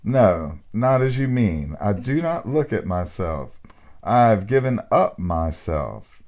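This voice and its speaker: A serene angelic spirit who is calm and composed and in no hurry at all, as a man reading a story puts him on. His voice is deep, low and gentle. He speaks quietly and evenly. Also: takes it slowly, exaggerated voice.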